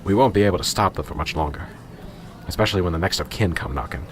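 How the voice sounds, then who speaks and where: A man's voice: low-pitched, fast talker, slight background noise, close to an everyday voice. A man acting in a radio drama as a flustered small-town police sergeant, apologetic, hesitant and eager to please. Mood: anxious, weary.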